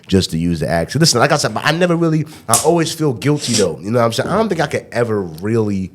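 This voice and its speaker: A man's voice: medium pitch, very animated, quick pace, slight background noise. A young man hosting a podcast in casual chat, telling loose stories and often checking in with "you know what I mean". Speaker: podcast host.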